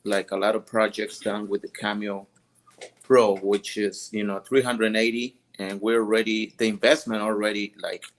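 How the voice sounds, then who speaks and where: A man's voice: on the low side, little pitch movement, moderate pace, slight background noise. A man from Mexico with a Mexican Spanish accent, sharing business tips in an easygoing, hesitant way. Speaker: small business owner. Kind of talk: casual tutorial chat.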